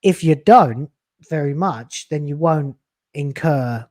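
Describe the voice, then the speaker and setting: A man's voice: a little high, animated, slow, a little mellow, no echo, clean studio sound. A man in his late thirties, a YouTuber talking in a casual podcast chat, blunt and profane, with emphatic swings in delivery. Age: late 30s. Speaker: YouTuber guest.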